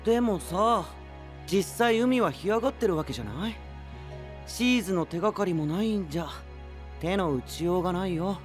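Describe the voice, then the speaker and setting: A man's voice: high-pitched, animated, normal speed. A man voicing a cool, blunt young knight in an anime-style voice drama, with a light, offhand, casual delivery. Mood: offhand, skeptical. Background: background music.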